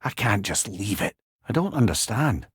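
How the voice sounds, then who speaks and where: A witty, learned older Scottish man as a talking severed head in a video game. His delivery is animated and wry, with eloquent old-fashioned turns of phrase, recorded very dry and close. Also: fairly low pitch, normal speed, breathy edge, no echo, exaggerated voice. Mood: wry, advising. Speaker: companion character in a video game. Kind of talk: video game character dialogue.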